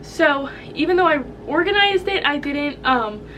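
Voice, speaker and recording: A woman's voice: high-pitched, lively intonation, a little slow, bright, forceful tone, a little hiss or hum, low-quality recording. A young woman with a head cold and a stuffy voice, chatting casually to camera about organizing her kitchen, upbeat and friendly. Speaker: vlogger. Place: home kitchen.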